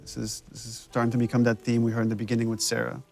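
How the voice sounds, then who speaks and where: A man's voice: mid-range voice, completely flat delivery, rapid-fire, gravelly, darkish tone. A man giving casual, reflective director's commentary over game cutscenes in a conversational way.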